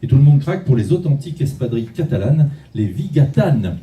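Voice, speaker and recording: A man's voice: mid-range voice, sing-song, brisk, mellow. A man hosting an awards ceremony on stage in a large hall, chatty and jokey, with loose phrasing and frequent restarts. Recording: echoey hall, mic at a distance, faint music.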